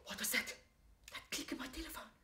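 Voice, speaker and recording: Actress as an anxious high-voiced housewife, echoey stage. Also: female voice, brisk, natural voice. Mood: terrified. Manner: breathless, insistent, melodramatic. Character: anxious wheelchair-bound housewife reporting an overheard murder. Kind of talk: theatrical cabaret comedy acting. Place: theatre stage. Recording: echoey stage, distant mic, loud music.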